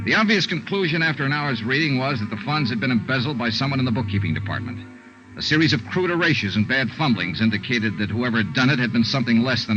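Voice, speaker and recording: A man's voice: on the low side, lo-fi sound. An actor playing a wry, unruffled male insurance investigator in a dry, businesslike 1950s radio detective style. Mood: brisk narrating. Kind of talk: 1950s detective radio drama acting, some first-person narration.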